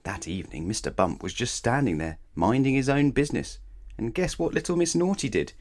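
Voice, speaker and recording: A man reading a children's storybook aloud in an animated, playful way, speaking straight to young listeners. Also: mid-range voice, brisk. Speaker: storybook reader. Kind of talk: expressive scripted children's story read-aloud.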